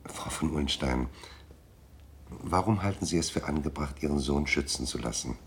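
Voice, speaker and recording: Radio drama private eye: very low voice, dry, inquisitive, faint noise behind. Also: male voice, normal speed. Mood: businesslike, probing. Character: businesslike, probing male private detective taking on a case. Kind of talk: naturalistic German radio drama.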